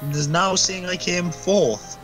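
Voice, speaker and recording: A man's voice: high-pitched, steady tone, takes it slowly, fairly bright tone. A man playing an online racing game, bantering casually over voice chat with sarcasm and swearing. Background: music and engine noise.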